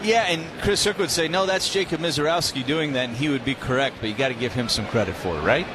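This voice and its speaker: A man's voice: mid-range voice, quick pace. A man with an American accent giving conversational color commentary on a live baseball broadcast. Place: stadium broadcast booth. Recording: noisy ballpark ambience behind. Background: steady ballpark noise.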